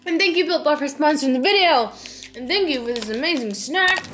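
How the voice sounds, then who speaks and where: A woman's voice: high voice, animated, fairly quick, music in the background. A woman in her twenties chatting casually to camera in a lifestyle vlog, upbeat and full of "like" fillers. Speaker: lifestyle vlogger. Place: home kitchen and car.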